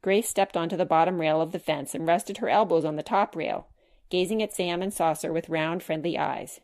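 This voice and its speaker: A woman's voice: fairly low pitch, moderate pace, a little mellow. A woman reading a children's chapter book aloud as scripted storybook narration.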